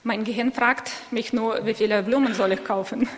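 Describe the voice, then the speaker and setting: A woman interpreting a sermon live, phrase by phrase, somewhat halting as she corrects herself. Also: fairly high pitch, steady tone. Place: church hall. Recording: echoey hall with long reverb.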